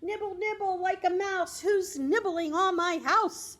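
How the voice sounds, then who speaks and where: A sly storybook villain in a candy cottage, acted by a woman, calls out in a high singsong. The tone teases with menace, and the pitch leaps up. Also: a little slow, fairly bright tone.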